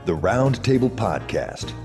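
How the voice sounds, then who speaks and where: A man's voice: fairly low pitch, big pitch swings, measured pace. A man announcing a scripted podcast promo. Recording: reverberant promo with music behind. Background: music.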